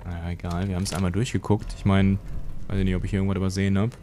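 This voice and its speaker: A man's voice: low-pitched, flat delivery, racing, dark tone, a little hiss or hum. A young man streaming a game from his home setup through a headset mic, chatting loosely with his viewers and answering their messages. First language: German. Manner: chatty, rambling, reads and answers chat. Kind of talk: casual live-stream chat while gaming. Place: home gaming room. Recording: faint game music underneath.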